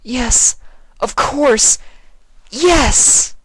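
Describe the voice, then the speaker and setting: A narrator voicing a conflicted, lovestruck young female unicorn pony in an excitable falsetto. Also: extremely slow, breathy edge, dry recording, heavily acted. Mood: eager. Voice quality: high falsetto.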